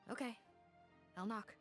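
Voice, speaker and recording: A woman voicing a seasoned, level-headed female investigator in a video game, serious, deliberate and authoritative. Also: a bit bright, faint music behind. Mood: commanding.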